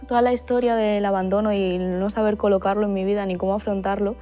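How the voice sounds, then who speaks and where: A woman's voice: mid-range voice, level tone, fast talker, warm tone, faint music behind. A woman adopted from China speaking earnestly and openly in a documentary interview. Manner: earnest, open about hard feelings.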